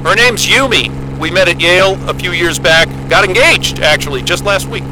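Guy playing a earnest young scientist in an audio drama, kinda high voice, hesitant and wry, loud engine noise behind. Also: normal speed, close to an everyday voice. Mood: modest, wry. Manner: hesitant, polite, wry, stammering over words. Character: earnest, bookish, confident young male astronomer. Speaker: astrophysicist character in an audio drama. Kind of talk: naturalistic audio drama dialogue.

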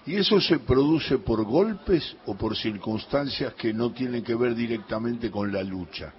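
A man's voice: medium pitch, a little slow. A man with a Rioplatense Spanish accent, hosting a radio interview and asking curious, chatty follow-up questions. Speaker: radio host. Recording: dull lo-fi radio sound.

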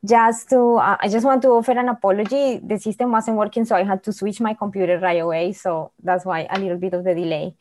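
A woman from Colombia with a Colombian Spanish accent, telling a personal story as a guest in earnest, halting English phrasing. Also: medium pitch, fairly even intonation, darkish tone. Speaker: guest speaker, business owner. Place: video call from a computer.

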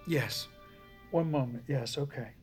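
Actor as a frail, slow, confused old President. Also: male voice, medium pitch, close to an everyday voice. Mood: confused, feeble. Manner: halting, mumbling, hesitant. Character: frail, confused, ailing President, older man. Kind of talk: audio drama acting. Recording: reverberant hall, music underneath.

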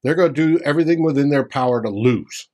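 A man's voice: mid-range voice, fast talker, mellow. A man co-hosting a sports podcast, wordy and reading out sponsor plugs. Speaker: podcast co-host. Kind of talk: casual chat.

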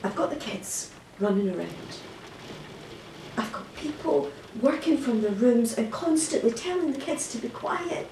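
A woman's voice: fairly high pitch, lively intonation, measured pace. An actress playing an exasperated, outspoken mother in an audio play, with a blunt, insistent manner. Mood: frustrated, insistent. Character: exasperated, outspoken mother running a crowded household. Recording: echoey, distant, faint noise.

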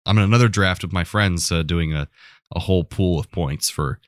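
A man's voice: low-pitched, a little fast, no room sound, clean studio sound. A man co-hosting a podcast, chatting casually and loosely about pop culture. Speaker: podcast co-host.

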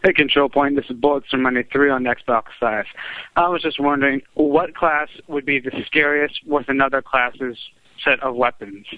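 A man's voice: mid-range voice, fairly even intonation, moderate pace, no echo. A man leaving a casual recorded question for a show, in a narrow phone-like recording. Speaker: caller. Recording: tinny, narrow phone-like call.

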